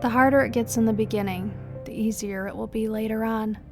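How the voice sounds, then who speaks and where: A woman playing a guarded but forgiving character in an audio drama, measured and frank. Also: a little high, fairly even intonation, over music, close to an everyday voice. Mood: softened. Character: firm, composed woman, guarded yet forgiving.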